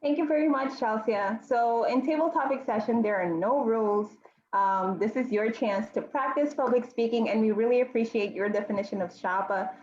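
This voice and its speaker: A woman's voice: a little high, normal speed, darkish tone, slight background noise. A woman from Mozambique hosting an online club meeting over a video call, giving friendly instructions with frequent ums. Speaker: meeting host. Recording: echoey, dull audio.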